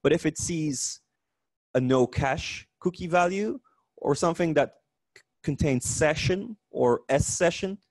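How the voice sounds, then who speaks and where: Dutch-accented man giving a lively tech talk. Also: on the high side, unhurried, no room sound. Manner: lively, joking, self-correcting restarts. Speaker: conference speaker. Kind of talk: technical meetup talk. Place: meetup room.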